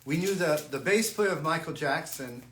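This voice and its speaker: A man's voice: on the high side, lively intonation, fairly bright tone. An older man, a musician hosting a fan livestream, chatting casually and often stopping to restart his sentences. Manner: halting, frequent restarts and repeated words. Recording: echoey room, faint ambient noise.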